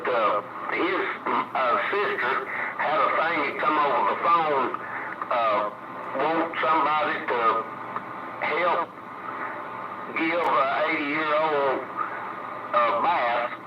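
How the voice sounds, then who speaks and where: A man's voice: mid-range voice, measured pace. A man with a Southern US accent rambling in a folksy, colloquial way in casual chat over CB radio. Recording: dull CB radio transmission, loud static noise.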